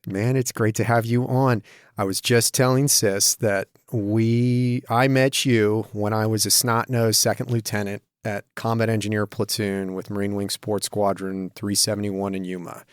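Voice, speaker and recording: Low-voiced podcast host man, dry studio sound. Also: little pitch movement, normal speed, smooth, dry recording. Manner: friendly, talkative, reminiscing. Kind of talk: podcast hosting and interviewing.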